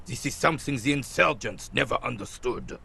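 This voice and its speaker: An actor playing a rigid, proud old royalist soldier in a video game, with a raspy voice and a pompous, emphatic delivery. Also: male voice, medium pitch, expressive, fairly quick, bright, forceful tone, slight background noise, heavily acted. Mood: proud, fervent. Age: older. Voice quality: gravelly, raspy.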